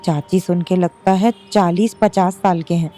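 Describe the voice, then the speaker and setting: An actress playing a remorseful young wife who speaks softly and pleadingly, in an audio drama. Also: female voice, lowish voice, steady tone, moderate pace, natural voice. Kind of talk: Hindi audio drama acting. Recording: soft music bed underneath.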